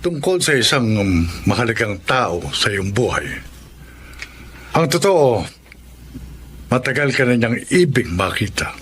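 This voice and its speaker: An earnest, measured attorney. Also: male voice, mid-range voice, very animated, a bit bright, slight background noise. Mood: solemn. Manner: measured, deliberate, polite. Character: earnest, discreet male attorney, loyal and respectful. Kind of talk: Filipino radio drama acting.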